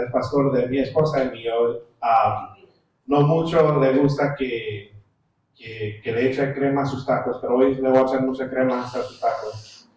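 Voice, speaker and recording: Man's echoey introduction in a big church. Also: mid-range voice. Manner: warm, joking introduction that rouses the crowd. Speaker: church leader introducing the guest pastor. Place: church sanctuary. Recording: very echoey distant hall sound with faint noise.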